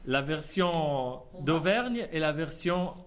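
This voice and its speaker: A man's voice: on the high side, animated, takes it slowly, fairly bright tone. A man leading a group language session, prompting the participants with questions. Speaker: workshop leader.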